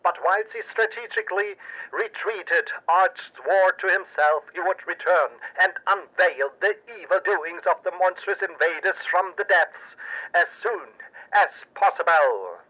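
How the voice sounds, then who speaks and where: A man's voice: sits high, measured pace, sharp tone, highs cut off, heavily acted. A man playing an earnest, paranoid radio news anchor, narrating pulp drama in a dramatic, portentous manner. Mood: urgent.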